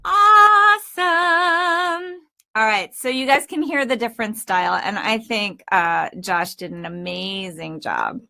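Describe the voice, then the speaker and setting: A woman hosting as a voice-over coach, teaching casually and informally with animated emphasis. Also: mid-range voice, measured pace, vocal fry.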